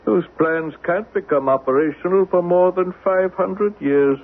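An actor in an old-time radio drama playing a taunting alien schemer posing as a human, mocking and defiant. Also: male voice, high-pitched, normal speed, phone-quality sound. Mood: arguing, pressing. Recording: thin band-limited radio broadcast recording.

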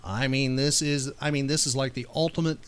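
A man's voice: medium pitch, fairly even intonation, a little fast, clear, resonant tone. A man hosting a casual trivia show, playfully quizzing and teasing out each question. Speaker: trivia podcast host.

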